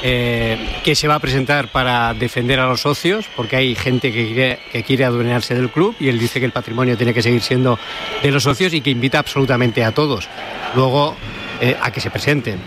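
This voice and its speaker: A man's voice: mid-range voice, steady tone, moderate pace, noisy background. A man with a Spanish accent from Spain giving an unscripted live radio sports report in hesitant, piecemeal phrases.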